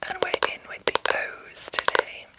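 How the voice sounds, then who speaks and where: A woman hosting ASMR, chatting and reading aloud in a soft, breathy, unhurried whisper. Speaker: ASMR host.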